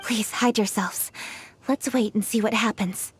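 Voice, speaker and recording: An actress playing a determined young noblewoman official in a video game, speaking in a crisp, formal, commanding way. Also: medium pitch, unhurried, slight background noise, natural voice. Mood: watchful. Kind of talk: dubbed video game cutscene acting. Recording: music behind the voice. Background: game music.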